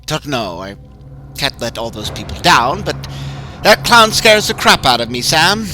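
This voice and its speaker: A man's voice: on the high side, big pitch swings, unhurried, bright, forceful tone, a little hiss or hum, pitched above the performer's own voice, heavily acted. A man voicing a frightened middle-aged haunted house owner who talks nervously and insistently. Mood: worried.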